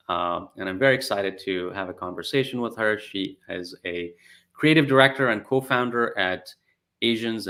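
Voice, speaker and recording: A man with a somewhat low voice hosts an interview in a conversational style. His delivery is hesitant and halting as he moves between remarks and questions. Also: bright, forceful tone. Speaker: interview host.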